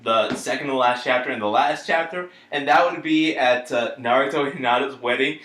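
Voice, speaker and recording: A young man co-hosting a podcast in casual fan chat, excitable and joking. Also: mid-range voice, fairly quick, reverberant. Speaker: podcast co-host.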